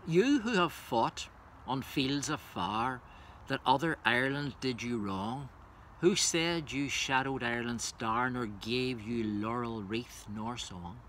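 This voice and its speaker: Bright-voiced man presenting poetry. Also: mid-range voice, expressive, slow pace, slight background noise. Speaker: reader introducing and reciting a poem. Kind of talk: informal spoken introduction and poetry recitation.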